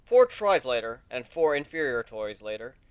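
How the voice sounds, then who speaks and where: A man's voice: medium pitch, very animated, normal speed, smooth, no echo. A man narrating a story as a dramatic reading. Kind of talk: dramatic reading of fan fiction.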